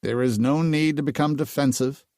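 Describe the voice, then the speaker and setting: A man's voice: mid-range voice, steady tone, moderate pace, darkish tone, no echo, clean studio sound, pitched above the performer's own voice, close to an everyday voice. A man voicing a curt, stern swordsman. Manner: curt and restrained, terse replies. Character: stern, weary adult male swordsman, impatient.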